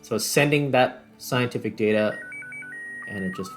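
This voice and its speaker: A man's voice: mid-range voice, normal speed, soft background music. A man doing casual gameplay commentary as a host, thinking aloud and muttering his way through tasks. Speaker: host, gaming video creator.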